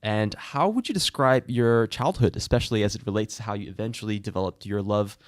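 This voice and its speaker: A man's voice: lowish voice, fairly quick, dry recording. Man hosting an interview, dry sound. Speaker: podcast host. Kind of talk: interviewing a guest.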